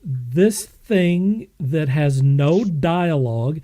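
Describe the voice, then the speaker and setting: A man hosting a podcast, reviewing things in an enthusiastic, casual way, emphatic and effusive with halting repetition. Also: on the high side, animated, warm tone. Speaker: podcast host.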